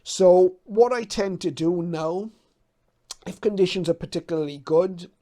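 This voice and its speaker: A man's voice: high voice, measured pace, mellow. A man in his fifties from the UK talking casually to camera as a hobby channel host, explaining things a little hesitantly as he thinks aloud. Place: small cluttered home radio room.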